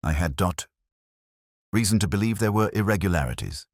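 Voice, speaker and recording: A man's voice: sits low, expressive, brisk, no echo, studio quality, pitched above the performer's own voice. A man voicing a polite but evasive professional under suspicion, guarded and defensive, in an audiobook mystery. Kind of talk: audiobook detective story dialogue.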